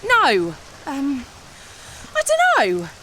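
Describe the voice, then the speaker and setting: An actress playing an excitable, sardonic would-be writer turned detective in a comedy audio drama, theatrical with dry asides. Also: female voice, high voice, extremely slow, slight background noise, full character voice, rough recording. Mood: earnest, dramatic. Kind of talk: comedy audio drama acting with confiding narration.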